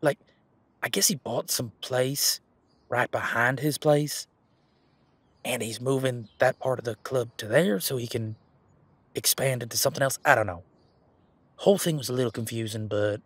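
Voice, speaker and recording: A man playing a laid-back, responsible werewolf security guard in a casual roleplay audio, talking in a colloquial, halting, matter-of-fact way with a husky voice. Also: mid-range voice, a bit bright, dry recording. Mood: frank, uncertain.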